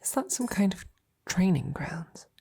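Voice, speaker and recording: A woman playing a devoted, courteous female knight in an intimate romantic audio roleplay, speaking softly and breathily with gentle, halting, formal phrasing. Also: on the low side, very animated, exaggerated voice. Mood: curious, hesitant. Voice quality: breathy, soft. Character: devoted female royal knight, tender, courteous, easily flustered.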